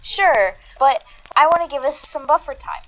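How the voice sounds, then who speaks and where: A woman's voice: high-pitched, animated, moderate pace, a bit bright, poor audio. A woman playing an analytical, unflappable paranormal investigator in an audio drama, speaking in a methodical, precise way. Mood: casual, easygoing. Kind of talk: audio drama dialogue, measured theorizing.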